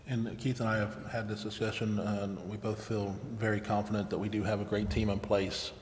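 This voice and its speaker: A man's voice: lowish voice, flat delivery, brisk. An American man chairing a formal city council meeting as its presiding officer. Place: council chamber. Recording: echoey hall, faint background noise.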